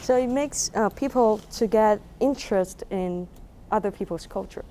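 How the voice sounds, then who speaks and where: Mellow young Taiwanese-accented woman. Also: medium pitch, normal speed, slight background noise. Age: young adult. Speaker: musician being interviewed. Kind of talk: casual interview answers.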